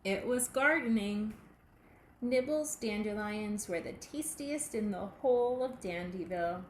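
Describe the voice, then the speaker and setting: Slow-paced woman reading a children's story. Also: mid-range voice. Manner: deliberate, expressive, addressing young listeners. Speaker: pre-K teacher reading a picture book. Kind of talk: children's storybook read-aloud. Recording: echoey room with faint background noise.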